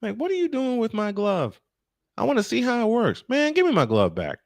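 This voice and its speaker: A man's voice: extremely high pitch, huge pitch swings, a little fast, no echo. An American man doing baseball play-by-play mixed with casual chat, telling things in a folksy, repetitive way.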